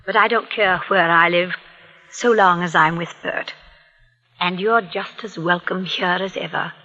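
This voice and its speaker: An actress playing a sweet, trusting older aunt, genteel and fluttery, in the style of 1940s radio acting. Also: female voice, fairly low pitch, fairly even intonation, unhurried, bright, forceful tone. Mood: reassuring. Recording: reverberant, muffled vintage radio recording.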